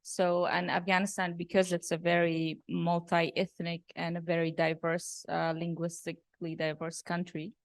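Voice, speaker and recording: Gravelly low-voiced woman filmmaker. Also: completely flat delivery, moderate pace, few pauses, dry recording. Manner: thoughtful, many fillers and restarts. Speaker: documentary director. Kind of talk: post-screening Q&A talk.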